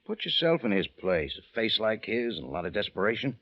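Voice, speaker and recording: A man's voice: fairly low pitch, sing-song, fairly quick. An American man playing a tough, wry adventurer in an old radio detective drama, telling his case in clipped slang. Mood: dry, knowing. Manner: clipped hardboiled slangy delivery. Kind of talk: 1940s-50s radio detective acting with first-person narration. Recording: dull old radio recording with music.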